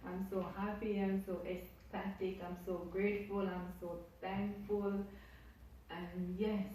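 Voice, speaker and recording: Slow-talking Jamaican woman in an echoey room. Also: mid-range voice, little pitch movement, dark tone, distant mic, slight background noise. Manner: effusive and repetitive, piling up thanks. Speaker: YouTuber. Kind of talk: casual vlog talk to camera.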